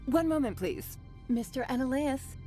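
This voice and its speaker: An actress playing a polite, discreet corporate secretary in a video game, speaking formally. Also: female voice, high voice, expressive, moderate pace, mellow, soft background music. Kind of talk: video game cutscene acting.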